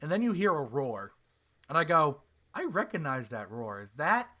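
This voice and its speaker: Guy with a highish voice chatting on a fan podcast, hyped up and sweary, lots of hyperbole. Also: moderate pace. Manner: excitable, hyperbolic fan talk with frequent swearing. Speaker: podcast co-host. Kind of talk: casual pop-culture podcast discussion.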